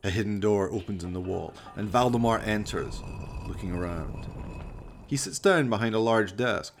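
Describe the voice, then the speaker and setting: A man reading scripted narration for a horror radio play in a theatrical, deliberate storytelling manner. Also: on the low side, expressive, vocal fry, a little hiss or hum.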